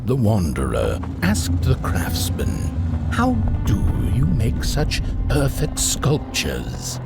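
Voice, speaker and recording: A man playing a theatrical storyteller of dark fairy-tale fables in a video game, creaky and acting out characters. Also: lowish voice, huge pitch swings, takes it slowly, full character voice. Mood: theatrical storytelling. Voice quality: gravelly, creaky. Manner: theatrical, acts out quoted speakers. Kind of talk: scripted fable narration. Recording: music under the voice. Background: music.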